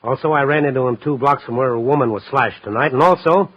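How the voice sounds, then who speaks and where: An actor playing a tough, dogged night-beat newspaper reporter in a 1950s radio drama, narrating and talking in a terse, urgent, hard-boiled way. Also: male voice, mid-range voice, fast, slightly rough, dull audio, natural voice.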